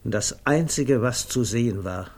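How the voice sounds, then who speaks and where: An actor narrating a comic 1950s German radio play in a measured, precise, drily amused literary manner. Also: male voice, mid-range voice, animated, a little mellow. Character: wry literary male narrator of a comic tale.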